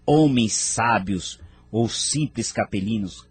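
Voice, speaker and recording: A man playing a noble spirit mentor in an audio drama, narrating in a measured, formal, grave manner. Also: fairly low pitch, husky, dry recording, soft background music, heavily acted. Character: Inumar, grave noble male spirit mentor recounting history.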